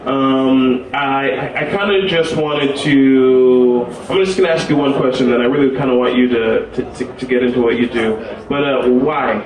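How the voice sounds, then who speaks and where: A man's voice: mid-range voice, normal speed, hardly any pauses. Stumbling male host, echoey stage. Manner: hesitant, stumbling over his words. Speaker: talk show host. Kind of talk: casual live interview. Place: live stage event with an audience. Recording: echoey room from a distance with a crowd behind.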